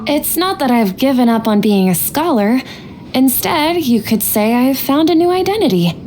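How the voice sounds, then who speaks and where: A woman's voice: high voice, animated, moderate pace, darkish tone. A woman voicing an earnest, idealistic young desert scholar in a video game, speaking formally and articulately. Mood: polite, hopeful. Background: game background music.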